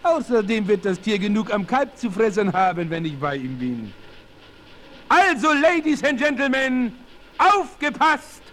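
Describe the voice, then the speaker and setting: A man's voice: sits high, big pitch swings, unhurried, a bit bright, exaggerated voice. An actor playing a boastful, daring circus showman and animal tamer, announcing grandly in a 1960s radio play. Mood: cocky, excited. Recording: vintage vinyl radio play, faint noise.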